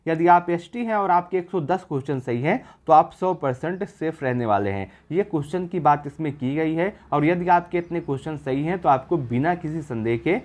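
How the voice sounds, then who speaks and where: A man's voice: fairly high pitch, expressive, moderate pace, hardly any pauses, darkish tone. A man presenting an exam-prep video, explaining figures informally in an assured, repetitive way. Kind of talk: informal explanatory data commentary.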